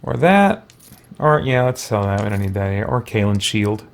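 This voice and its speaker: Guy doing chill game commentary, kinda creaky voice, mumbling his thoughts out loud as he plays. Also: fairly low pitch, sing-song, normal speed. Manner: muttering to himself, mild exclamations. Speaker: host of a role-play gaming playthrough. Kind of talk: casual gameplay commentary, thinking aloud.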